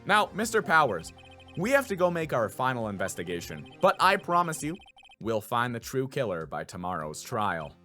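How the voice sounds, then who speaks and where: A man voicing an earnest, excitable young male defense attorney from a video game, with a dramatic manner. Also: mid-range voice, moderate pace, faint music behind. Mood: determined.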